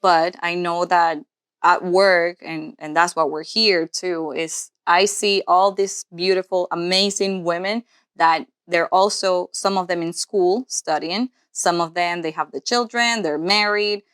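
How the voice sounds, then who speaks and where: A woman's voice: fairly low pitch, steady tone, a little slow, fairly bright tone, clear, resonant tone, no echo, clean studio sound. A woman in her twenties, born in Cuba, co-hosting a podcast in an earnest, casual way, thinking aloud as she goes. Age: 20s. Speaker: podcast co-host, nurse practitioner.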